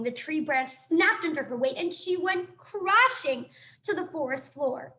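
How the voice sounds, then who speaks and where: A woman reading a children's book aloud in an expressive, animated storytelling manner. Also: high voice, a little hiss or hum, low-quality recording. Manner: animated, dramatic sound effects and asides.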